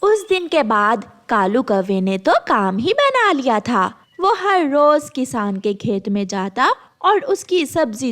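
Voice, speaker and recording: A woman's voice: high-pitched, fairly bright tone. A woman storyteller reading scripted narration for a children's cartoon tale.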